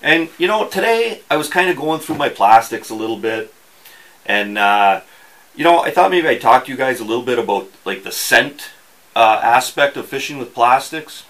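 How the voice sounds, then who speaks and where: A middle-aged man talking casually to the camera as host of an outdoors channel, with a folksy, wry, halting delivery. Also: mid-range voice, animated, a bit bright, low-quality recording. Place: small indoor workshop.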